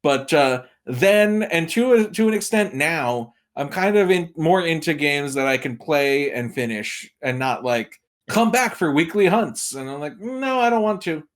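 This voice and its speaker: A man with a somewhat high voice chats as a guest film critic on a podcast. He talks casually and tells things like a storyteller, slipping into little imagined reactions to make his point. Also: animated, unhurried. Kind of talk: casual chat, giving film opinions.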